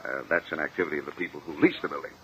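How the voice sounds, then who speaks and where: An actor playing an uneasy, evasive businessman, halting and defensive, in a 1950 radio courtroom drama. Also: rumbling low, fairly even intonation, sharp tone. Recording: muffled, hissy old radio recording.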